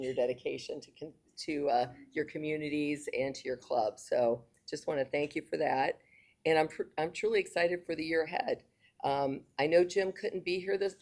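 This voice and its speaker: A woman's voice: low-pitched, darkish tone. A woman serving as district governor, giving a speech at a club meeting, hesitant with many fillers and restarts, and losing her voice.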